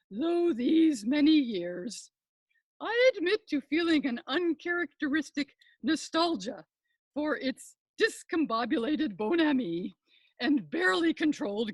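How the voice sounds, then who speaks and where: An older woman performing a scripted comic monologue as a pompous professor and podcast host, with florid, deliberate academic delivery. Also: high-pitched, no room sound, poor audio.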